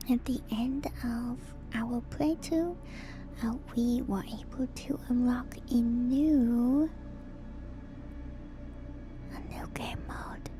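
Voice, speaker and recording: A woman livestream host chatting in a soft ASMR style, moving between whispers and quiet speech, very close to the mic with audible mouth noises. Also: fairly high pitch. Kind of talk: whispered ASMR stream chat. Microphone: very close microphone with gain turned up, mouth noises. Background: music.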